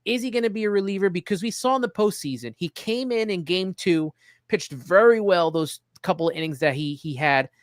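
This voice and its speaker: A man's voice: high-pitched, animated, fairly quick, no room sound, studio quality. A man hosting a casual baseball discussion, giving his opinions in an earnest, conversational way. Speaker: sports show host. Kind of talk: casual sports talk.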